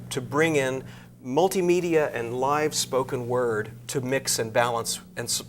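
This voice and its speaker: A man speaking as an interview guest and arts director, explaining things in measured phrases. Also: medium pitch. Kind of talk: interview talk about a concert program. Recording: clear background noise with hum.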